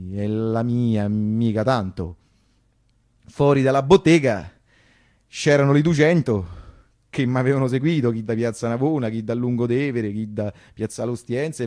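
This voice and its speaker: A man's voice: mid-range voice, darkish tone. A man with a Roman accent performing a colloquial, emphatic first-person theatrical monologue, repeating phrases for effect. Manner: colloquial, emphatic, repetitive storytelling. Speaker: actor-storyteller.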